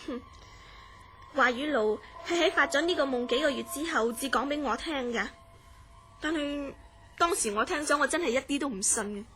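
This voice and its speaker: Actress as earnest female storyteller, Hong Kong Cantonese accent, high voice, measured eerie radio-play narration, music behind. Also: a bit bright. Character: earnest woman recounting a friend's eerie dreams. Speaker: radio drama actor. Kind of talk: radio drama dialogue, recounting a strange story.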